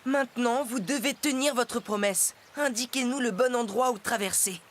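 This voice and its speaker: A woman's voice: a little high, moderate pace, very noisy, natural voice. A woman voicing a brave, kind young boy guide in a cartoon, speaking in an earnest, reassuring manner. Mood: firm. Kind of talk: dubbed cartoon acting. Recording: loud music under dialogue.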